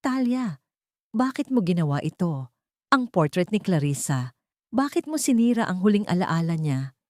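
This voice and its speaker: A woman's voice: lowish voice, big pitch swings, normal speed, a little mellow, no echo, clean studio sound. A woman voicing a cruel, scheming older woman who turns falsely tearful and pleading in a dramatic audio storybook. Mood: false tearful accusation.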